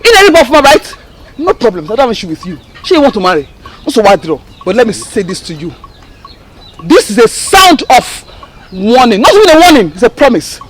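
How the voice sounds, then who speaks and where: A woman's voice: fairly high pitch, very animated, fairly quick, heavy background noise. An actress playing a jealous, vengeful young woman, shrieking and stammering threats through tears. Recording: loud music underneath.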